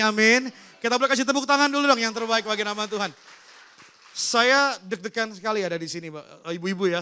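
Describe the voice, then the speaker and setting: High, bright-voiced male pastor. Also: smooth. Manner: informal storytelling, often addressing listeners directly. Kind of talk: personal testimony in a sermon, addressing a congregation.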